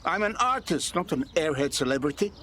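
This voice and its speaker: An actor playing a proud, secretive artist in a radio soap, curt and easily affronted. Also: male voice, fairly high pitch, big pitch swings, fairly quick, sharp tone, a little hiss or hum. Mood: irritated, curt.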